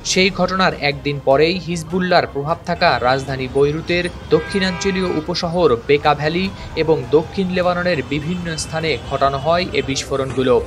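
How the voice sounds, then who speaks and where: Higher-pitched male news reporter over music. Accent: Bangladeshi. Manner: formal, measured reporting. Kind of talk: scripted news narration. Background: background music.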